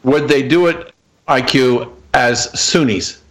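Guy hosting an interview, average pitch, keeps restarting his questions. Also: slow pace. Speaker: interview host.

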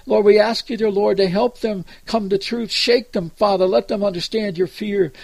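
A man's voice: high-pitched, steady tone, normal speed, vocal fry, a little mellow, no echo. An American man praying aloud as a preacher, earnest and pleading, improvising as he goes and repeatedly addressing God. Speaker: preacher leading prayer. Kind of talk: extemporaneous spoken prayer.

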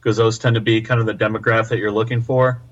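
A man's voice: on the low side, flat delivery, fast talker. Man on a dull lo-fi line. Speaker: podcast guest. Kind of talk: casual chat. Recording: dull lo-fi sound.

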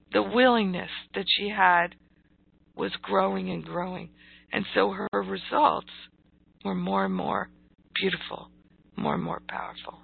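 Female motivational spiritual teacher, very unhurried and emphatic, croaky voice, thin telephone-quality sound. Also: medium pitch. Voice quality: croaky, gravelly. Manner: deliberate, emphatic, repeats phrases. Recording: thin, phone-like audio.

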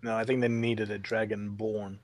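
A man talks as a panelist on a casual gaming podcast. His voice is a little low, and he explains in a tentative, conversational way. The recording is dry, without room sound. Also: level tone, normal speed, no echo. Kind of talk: casual roundtable discussion of a video game.

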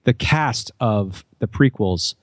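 A man with a slightly low voice talks in a casual interview. He explains his craft earnestly, as a guest would. The sound is dry and close, with no room to it. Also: lively intonation, takes it slowly, no echo. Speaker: podcast guest, voice actor.

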